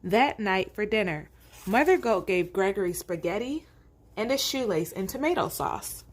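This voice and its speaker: A woman narrates a children's storybook at a medium pitch. She reads aloud, then drops into conversational asides addressed directly to her young listeners. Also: expressive, normal speed.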